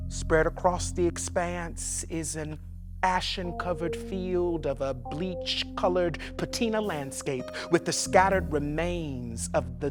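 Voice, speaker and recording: A woman performing a dramatic spoken-word reading of written work. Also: fairly low pitch. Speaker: performer reading writing aloud. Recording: music behind the voice.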